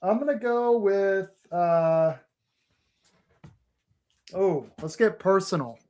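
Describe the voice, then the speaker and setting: A man's voice: high voice, slow pace, a little mellow. Earnest rambling man from Texas. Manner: earnest, rambling, with fillers and restarts. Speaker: podcast guest. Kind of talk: casual podcast chat.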